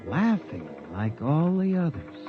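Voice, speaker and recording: A man's voice: fairly high pitch, big pitch swings, measured pace. An American actor playing an anxious, earnest statistician who narrates his own story in a 1950s radio drama, with intense, dramatic delivery. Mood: haunted, uneasy. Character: anxious, earnest statistician clerk, unhappy and haunted. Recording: dull 1950s radio recording, music behind.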